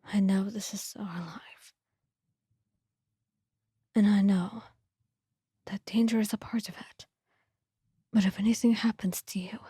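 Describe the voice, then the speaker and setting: Woman acting young male swordsman, ASMR roleplay, hushed, mellow, breathy, slow, restrained worry, protective. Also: mid-range voice, fairly even intonation, no echo, clean studio sound. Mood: hushed, tender. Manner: slow, understated, tender. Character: quiet, blank-faced, protective young swordsman, secretly worried.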